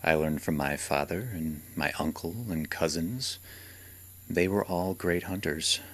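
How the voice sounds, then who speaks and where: A man's voice: sits low, a little slow. A man playing a jokey, encouraging father who is a veteran vampire hunter, in a radio drama. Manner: jokey, encouraging, laughs often. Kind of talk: hobby radio drama acting.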